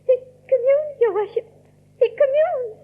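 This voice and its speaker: A woman's voice: very high-pitched, unhurried, a little hiss or hum. A woman playing a frightened, fervent Puritan villager giving tearful witness in an audio drama. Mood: tearful fervour. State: tearful, quavering. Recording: narrow-band, old radio sound.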